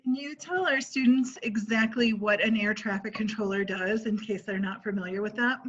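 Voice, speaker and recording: Husky woman interviewer on dull audio. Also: medium pitch, normal speed, fairly bright tone. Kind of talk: interview questioning for students.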